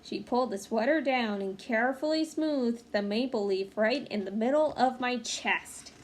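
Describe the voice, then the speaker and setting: A woman with a fairly high voice reads a children's picture book aloud. She is expressive and speaks as if to young listeners. There is some faint background noise in the recording. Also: moderate pace. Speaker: read-aloud storyteller. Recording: clearly noisy home recording.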